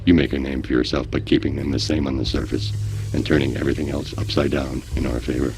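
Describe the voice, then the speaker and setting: A man's voice: extremely low pitch, level tone, quick pace, darkish tone, slight background noise. A man voicing a cold, humorless, menacing talking machine in a radio drama. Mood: commanding. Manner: humorless, imperious, formal. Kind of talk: satirical radio drama acting.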